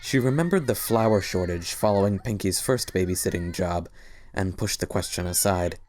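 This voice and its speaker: Low-pitched male story narrator. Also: normal speed, a little mellow, slight background noise. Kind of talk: scripted dramatic story narration.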